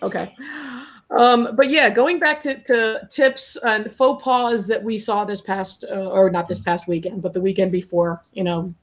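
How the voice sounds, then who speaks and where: A woman in her fifties hosting a casual podcast, talking loudly and chattily, laughing often and swearing freely. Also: medium pitch, fairly even intonation, unhurried. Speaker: podcast host.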